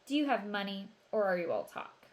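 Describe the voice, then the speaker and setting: Woman voicing shady male shopkeeper, storybook character, curt, sly haggler, gruff temper. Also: mid-range voice, sing-song, fairly quick, natural voice. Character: shady, gruff male coin shop owner.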